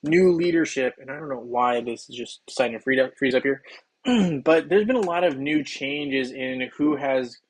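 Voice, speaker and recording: A slightly hoarse man hosting a game livestream, chatting loosely with viewers while he plays, with plenty of ums and restarts. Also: mid-range voice, moderate pace, low-quality recording. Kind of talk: casual livestream chat while playing.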